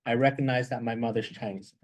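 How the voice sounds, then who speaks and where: A man giving reflective advice as a guest speaker, in a halting way that repeats words as he thinks. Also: mid-range voice, fairly even intonation. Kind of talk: reflective advice in an informal talk.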